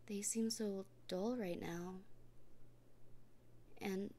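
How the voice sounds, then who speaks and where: A woman in a soft, gently reassuring comfort roleplay, often speaking in a whisper. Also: mid-range voice, fairly even intonation, drawn-out words. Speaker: roleplay performer speaking as a partner.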